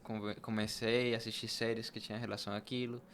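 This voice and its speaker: A man's voice: lowish voice, flat delivery, a little fast, fairly bright tone, a little hiss or hum. A young man from Latin America, telling his personal story conversationally as a podcast guest.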